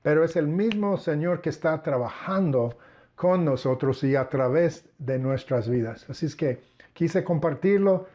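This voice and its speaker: Older male pastor in his sixties, earnest and measured, speaking in a somewhat high voice on a muffled recording. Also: warm tone. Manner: earnest, measured, addressing listeners as brethren. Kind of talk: personal ministry testimony. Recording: dull lo-fi recording.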